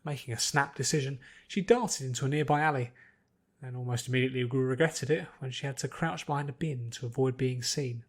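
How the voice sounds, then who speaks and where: A man's voice: medium pitch, fairly quick. A man reading scripted fantasy fiction aloud as its narrator.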